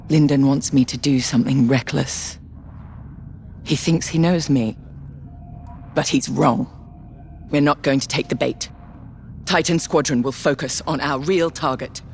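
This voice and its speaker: Cold, imperious Imperial commander. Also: female voice, low voice. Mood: triumphant, controlled. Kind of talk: scripted military briefing in a space game. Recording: dramatic music under the voice.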